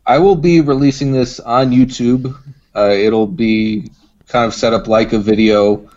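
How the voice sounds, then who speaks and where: A man's voice: mid-range voice, fairly even intonation, a little slow, darkish tone, dull audio. A man chatting casually as a guest on a comedy podcast. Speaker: podcast guest, radio drama creator. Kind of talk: casual chat.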